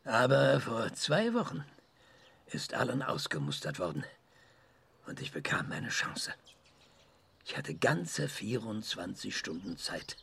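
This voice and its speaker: A man playing a self-assured research scientist in a radio drama, lecturing emphatically with dramatic pauses. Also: fairly low pitch, vocal fry, bright, forceful tone, slightly rough, full character voice. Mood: grave, insistent. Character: excitable, self-assured male research scientist giving an interview.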